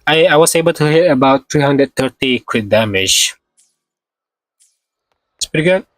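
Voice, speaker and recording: A man's voice: a little high, animated, normal speed, no room sound. A man giving casual, rambling live commentary as he streams a game, repeating himself and swearing mildly, with a slightly sore throat.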